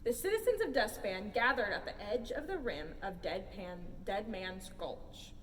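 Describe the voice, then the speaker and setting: A woman reading a children's picture book aloud in an animated, theatrical storytelling style. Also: medium pitch, measured pace. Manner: theatrical, expressive. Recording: roomy hall, distant mic, faint noise.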